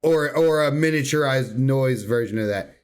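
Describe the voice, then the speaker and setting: A man talks casually as co-host of a gaming podcast. His voice sits at an average pitch, and his delivery is colloquial and informal. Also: normal speed, clean tone.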